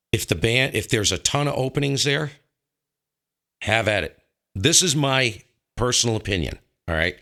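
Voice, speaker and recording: A man's voice: lowish voice, pushed and bright. An American man talking casually as a show host, giving his opinions emphatically and repeating points for stress. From northern United States. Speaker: host of a ham radio show. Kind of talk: casual opinionated conversation about radio operating.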